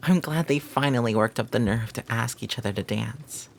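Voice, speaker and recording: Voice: mid-range voice, moderate pace, creaky, a little hiss or hum, lightly acted. A performer playing a flirty, devoted maid who teases and gossips in formal, flowery phrasing, in a scripted romantic audio roleplay. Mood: gossipy, amused.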